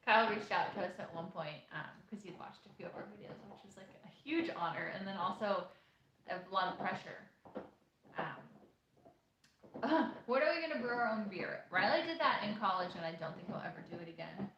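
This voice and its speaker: A woman's voice: mid-range voice, expressive, fairly quick, fairly bright tone, lo-fi sound, slight background noise. Chatty young woman in an echoey room. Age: 20s. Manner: chatty, reads questions aloud, many fillers. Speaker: co-host of a homesteading channel. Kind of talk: casual live Q&A chat. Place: room in an off-grid shop.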